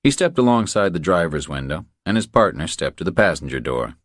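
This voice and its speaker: Deep-voiced male thriller narrator, dry sound. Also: very animated, brisk, dry recording. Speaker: audiobook narrator.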